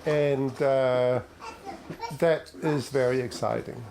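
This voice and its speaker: An older man from Denmark, answering interview questions hesitantly with many pauses and fillers. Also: mid-range voice, steady tone, vocal fry. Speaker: project participant.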